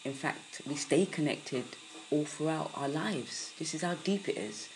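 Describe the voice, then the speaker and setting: A woman with a croaky early-morning voice giving a soft, unhurried spiritual podcast talk, with many pauses and fillers. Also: sits low, slight background noise, low-quality recording.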